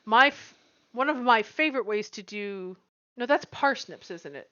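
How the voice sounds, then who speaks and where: A high-pitched American woman bantering casually as a livestream co-host. She talks in a playfully dramatic way, and the audio is slightly dull and band-limited. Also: animated, moderate pace, sharp tone, no room sound. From US West Coast.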